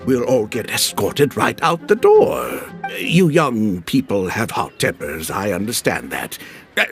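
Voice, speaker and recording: A man voicing an older merchant in a game cutscene, a genial smooth talker who placates others and hands out worldly advice. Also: mid-range voice. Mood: placating. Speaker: peacemaking tea house patron.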